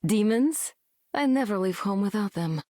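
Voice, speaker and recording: A woman's voice: mid-range voice, expressive, normal speed, mellow, no echo, clean studio sound, natural voice. A woman voicing a cocky, teasing young heroine in a video game, with a sardonic delivery. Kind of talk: video game character voice lines.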